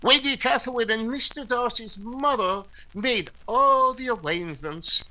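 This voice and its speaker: A pompous, slow-talking clergyman suitor. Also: very high-pitched, sharp tone, heavily acted, rough recording. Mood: pompous, earnest. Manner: formal, pompous, hesitant. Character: pompous, fussy, self-important English clergyman suitor. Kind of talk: period radio comedy acting.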